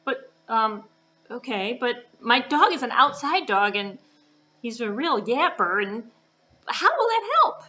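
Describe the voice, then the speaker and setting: A woman voicing an exasperated male farmer in a puppet show, whiny and hesitant, with drawn-out complaints and flustered stammering. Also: on the high side, big pitch swings, bright, forceful tone, muffled recording, full character voice. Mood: flustered, doubtful.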